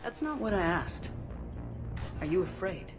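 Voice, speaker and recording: An actress playing a resolute, steadfast military commander in a video game, speaking firmly and directly. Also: female voice, on the low side, sing-song, unhurried. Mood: firm, challenging. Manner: firm, direct, rallying. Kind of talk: video game dialogue acting. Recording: music playing behind the voice.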